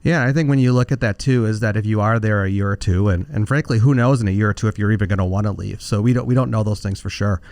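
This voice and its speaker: Fast-talking man, low mellow voice. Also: no room sound. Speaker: financial advisor answering listener questions. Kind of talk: conversational expert commentary.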